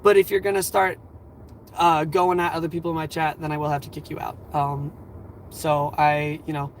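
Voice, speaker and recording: A man in his twenties hosting a livestream, giving earnest advice in a rambling, halting way full of fillers, his voice a little scratchy and tired. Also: on the high side, little pitch movement. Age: 20s. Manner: earnest, reassuring. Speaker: livestream host.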